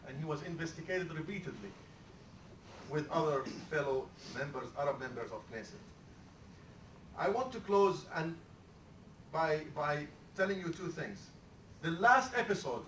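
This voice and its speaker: A man addresses a panel audience with fervor, stumbling and restarting as he builds his points. His voice sits a little high and sounds distant from the mic. The lecture hall adds a roomy echo and a faint hiss underneath. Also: fairly bright tone. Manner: impassioned, halting with many restarts. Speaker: panel moderator.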